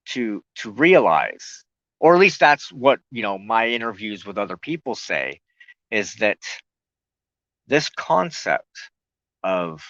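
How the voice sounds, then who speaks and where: Slow, halting man with a bright voice. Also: medium pitch, no echo, highs cut off. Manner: halting, pausing often mid-sentence while searching for words. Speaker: host of a spiritual talk show. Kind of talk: loose explanatory talk on a spiritual broadcast.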